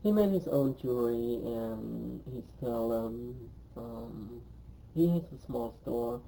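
A man's voice: mid-range voice, lively intonation, gravelly, warm tone, a little hiss or hum, rough recording. A man in his twenties from Cambodia, speaking English, recalls his life in an oral history interview and often stops to say um. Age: 20s. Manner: hesitant, pauses often with um.

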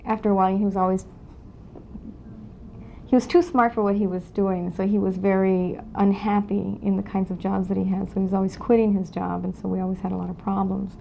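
A woman in her forties from Los Angeles, recalling her family history in an oral history interview, speaking in a reflective, halting way with many pauses. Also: medium pitch, flat delivery, vocal fry. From Los Angeles, California. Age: 40s. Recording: dull lo-fi 1992 tape with faint hiss.

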